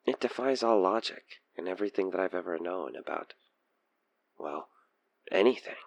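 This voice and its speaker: A man acting an obsessed, possessive stalker killer in an audio roleplay, teasing, coaxing and menacing, with breathy, intimate delivery. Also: sits low, expressive, normal speed, dry recording. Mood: infatuated, intimate. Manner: theatrical, teasing, intimate, dropping into breathy near-whispers. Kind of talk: audio roleplay monologue spoken to the listener over a phone call.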